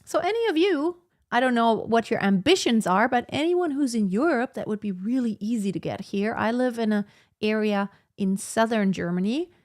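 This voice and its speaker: A woman's voice: on the high side, expressive, normal speed. A woman in her thirties, a vocal coach hosting a live Q&A, chatting loosely and rambling with lots of fillers and restarts as she reads out viewer comments. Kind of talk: casual live-stream chat reading viewer comments. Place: home in southern Germany.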